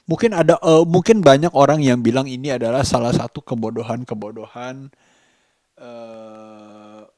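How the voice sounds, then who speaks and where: A man from Indonesia talks casually to camera as a vlogger, musing and halting, drawing out some words. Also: mid-range voice, a little mellow, dry recording, clean studio sound. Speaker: vlogger, host of a daily vlog. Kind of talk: casual vlog monologue to camera.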